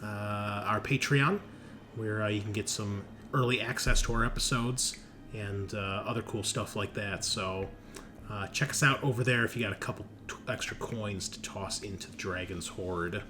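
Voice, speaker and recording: A man's voice: lowish voice, normal speed. A man chatting informally and chummily as a podcast host and game master. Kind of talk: casual podcast chat. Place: room at a new home. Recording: clearly noisy home recording.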